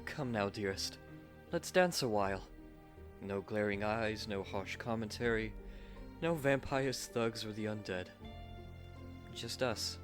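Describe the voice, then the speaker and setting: A man acting a devoted, earnest young gentleman newlywed in a romantic roleplay, with formal old-fashioned phrasing. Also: fairly low pitch, a little slow, long pauses, soft background music, natural voice. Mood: tender.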